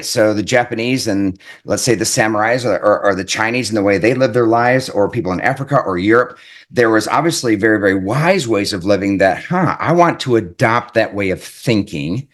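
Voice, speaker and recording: A man giving an earnest, reflective self-help talk as an author, often repeating his points and checking in with "right?". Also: on the low side. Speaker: author and life coach.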